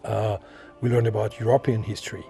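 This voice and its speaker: A man from France with a French accent, answering interview questions as an artist, halting and repeating himself as he searches for words. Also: fairly low pitch, flat delivery, vocal fry, warm tone, faint music behind. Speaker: visual artist being interviewed.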